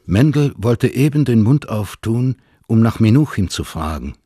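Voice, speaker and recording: A man narrating a literary radio play with expressive, deliberate phrasing and a husky edge. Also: mid-range voice, no room sound, clean studio sound.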